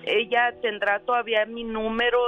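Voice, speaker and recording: A woman from El Paso, Texas, calling into a radio show and speaking in a hesitant, halting way. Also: fairly high pitch, little pitch movement. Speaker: caller. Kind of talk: phone call to a radio show. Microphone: telephone. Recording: tinny phone line, faint music.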